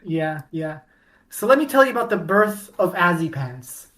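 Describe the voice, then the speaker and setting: Lower-pitched woman telling a mock-dramatic story. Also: sing-song, moderate pace, a bit bright. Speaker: podcast co-host. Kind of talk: casual fandom chat.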